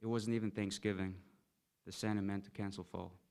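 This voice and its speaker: A man's voice: on the low side, flat delivery, brisk, vocal fry, a little mellow. A young man, a student, reading a narrative poem aloud from a text. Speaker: student reader.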